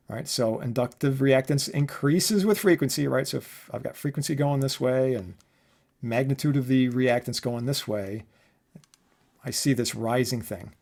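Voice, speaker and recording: Male professor, mid-pitched voice, conversational lecture delivery with frequent check-in tags. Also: gravelly, darkish tone. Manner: conversational, often adding check words like "right?" and "okay?". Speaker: electronics professor giving a lesson. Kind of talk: informal lecture explaining equations.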